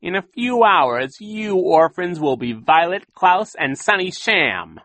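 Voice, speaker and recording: A man putting on a loud gloating villain captain. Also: sits high, expressive, takes it slowly, no room sound, voice pushed up, exaggerated voice. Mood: mocking. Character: sneering greedy male villain sea captain.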